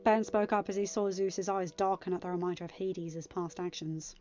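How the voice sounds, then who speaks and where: A woman's voice: lowish voice, fairly even intonation, fairly quick, warm tone. A woman reading fan fiction aloud as scripted audiobook narration. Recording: slightly dull, band-limited home recording.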